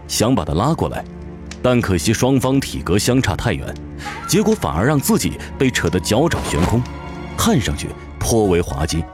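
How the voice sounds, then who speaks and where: A man narrating a fantasy audio drama from a script in a dramatic storytelling style. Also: on the low side. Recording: prominent music behind the voice.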